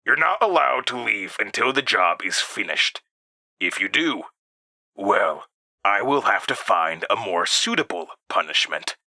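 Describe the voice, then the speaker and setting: A man's voice: on the low side, sing-song, a little slow, creaky, bright, forceful tone, dry recording, studio quality, full character voice. A man voicing a stern, sinister old schoolmaster, formal and threatening, in a horror audio drama. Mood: quietly threatening. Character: stern, sinister, ancient male school authority.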